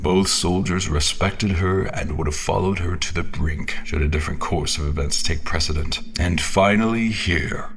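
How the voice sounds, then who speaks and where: A man playing a haunted, guilt-ridden fantasy general who narrates in a solemn, brooding, theatrical way, sometimes halting and slipping toward whispers. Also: low-pitched, a little hiss or hum. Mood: reverent, mournful. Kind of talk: dramatic scripted first-person fantasy narration. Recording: background music under the voice.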